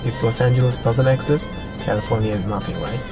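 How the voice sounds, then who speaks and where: A man's voice: medium pitch, fast, over music. Playful man. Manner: playful, teasing. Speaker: vlogger. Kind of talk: casual chat.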